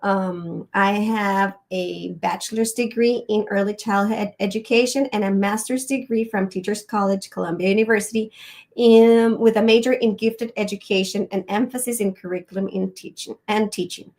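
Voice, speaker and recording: A woman's voice: mid-range voice, steady tone, normal speed, darkish tone. A middle-aged woman from Rica, hosting a live educational webinar. She talks in a friendly, personal way, telling stories with frequent ums. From Costa Rica.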